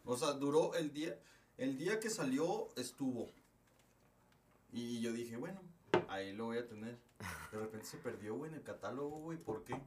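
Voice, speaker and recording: A man's voice: medium pitch, expressive, normal speed, heavy background noise. A young man with a Mexican accent chatting casually on a podcast, tossing in slang fillers after nearly every phrase. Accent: Mexican Spanish. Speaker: podcast co-host. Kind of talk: casual chat.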